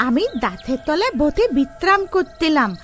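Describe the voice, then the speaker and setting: A woman's voice: high voice, big pitch swings, moderate pace, soft background music. A woman playing a good-hearted, naive young witch girl in a children's cartoon story, with a childlike lisp that swaps consonants. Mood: earnest.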